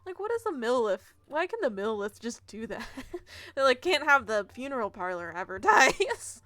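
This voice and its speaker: Female game streamer: slightly high voice, dry close sound, casual rambling commentary, giggly delivery. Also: big pitch swings, moderate pace, a bit bright, dry recording.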